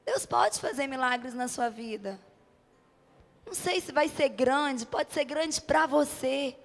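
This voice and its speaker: A woman's voice: high-pitched, takes it slowly. A Brazilian woman preacher delivering an impassioned, emphatic sermon at a church event, driving points home with rhetorical questions and repeated short phrases. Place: church event before a congregation. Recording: reverberant hall amplification.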